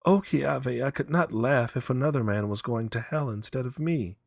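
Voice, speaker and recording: A man doing a pleading, higher-pitched wife. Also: normal speed, gravelly, dry recording, studio quality. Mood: anguished. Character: distressed, pleading, loving young wife.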